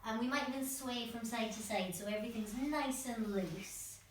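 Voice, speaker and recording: A woman's voice: on the high side, normal speed, a little hiss or hum. Female workshop instructor, encouraging guided instruction, distant from the mic with heavy room echo. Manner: encouraging, step-by-step, demonstrates as she talks.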